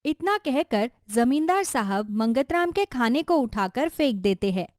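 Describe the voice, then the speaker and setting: A woman's voice: on the high side, lively intonation, a little slow, a little mellow, dry recording, studio quality. A woman reading scripted narration for a cartoon story.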